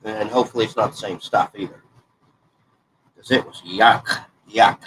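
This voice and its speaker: A man's voice: on the low side, fairly even intonation, moderate pace, fairly bright tone, a little hiss or hum, rough recording. A congested man in his forties, chatting in a folksy, rambling way while he works on a crafting project. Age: 40s. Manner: folksy, rambling, self-correcting. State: congested, stuffed-up nose. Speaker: hobby crafting vlogger.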